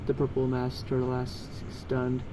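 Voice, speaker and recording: A man's voice: medium pitch, completely flat delivery, measured pace, background noise. A man narrating a piece of fan fiction, reading it aloud.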